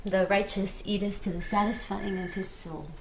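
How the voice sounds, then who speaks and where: A woman's voice: medium pitch, steady tone, normal speed, gravelly, very noisy, lightly acted. A woman playing a hospitable, pious churchgoing wife in an audio drama, speaking solicitously. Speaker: actor.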